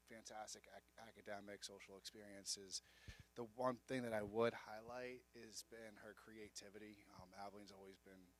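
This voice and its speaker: A man speaking as a parent at a school information session, in a measured, thoughtful way. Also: lowish voice, a bit bright, slight background noise, rough recording. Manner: measured, thoughtful, some restarts. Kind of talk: casual testimonial.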